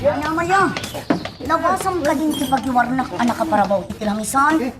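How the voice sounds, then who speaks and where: A woman's voice: high voice, fairly quick, loud music behind the voice, close to an everyday voice. A woman playing an indignant, outspoken young villager in a radio drama, speaking with earnest emphasis. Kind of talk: Ilocano radio drama acting.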